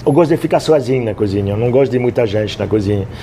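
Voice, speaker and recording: A man in his sixties from France, a chef speaking Portuguese with a French accent, telling stories in a relaxed interview with many fillers. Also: medium pitch, racing, a little hiss or hum. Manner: storytelling, hesitant with many fillers, laughs easily. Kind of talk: casual interview talk.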